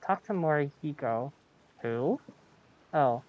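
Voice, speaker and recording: A woman's voice: sits low, animated, slow, dull audio, noisy background. A woman giving casual, offhand livestream commentary while playing a game. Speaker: streamer.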